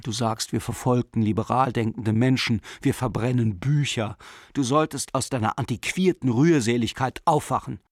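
A man's voice: mid-range voice, dry recording, lightly acted. Radio drama: male actor as cold political zealot, mid-range voice, emphatic, contemptuous letter reading, tight dry sound. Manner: emphatic, scornful, declarative. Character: zealous, cold German nationalist breaking with his friend.

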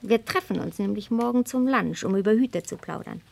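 A woman playing a witty, gossipy high-society hostess in a radio play, with breezy, theatrical aristocratic chatter. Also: fairly quick, creaky, darkish tone. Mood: teasing, amused.

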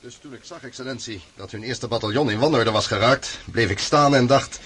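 An actor playing a self-important general with a husky voice, reporting formally in a radio drama. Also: male voice, medium pitch, a little fast, a bit bright. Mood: self-satisfied.

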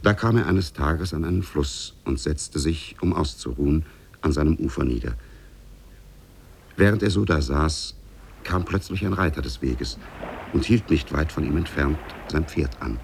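Male narrator, extremely low pitch, creaky, measured formal storytelling, 1950s German radio drama. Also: expressive, a little hiss or hum.

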